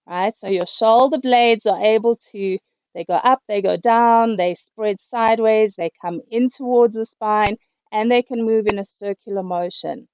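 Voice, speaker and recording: A woman yoga teacher, a little hoarse, calmly cueing breath and movements through a class over a video call. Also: medium pitch, measured pace, dry recording. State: slightly hoarse. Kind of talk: guided yoga instruction. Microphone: computer mic on a video call. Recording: dull, lo-fi video call audio.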